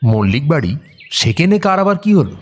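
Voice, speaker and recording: A nosy customer at the barber's in a radio play. He is chatty and inquisitive, with a slightly high, lively voice. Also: brisk, slight background noise. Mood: surprised.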